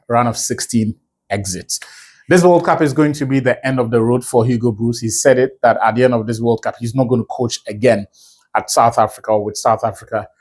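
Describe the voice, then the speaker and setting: A man from Ghana giving a solo football analysis video, fluent and chatty, with asides and quick self-corrections. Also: mid-range voice, darkish tone.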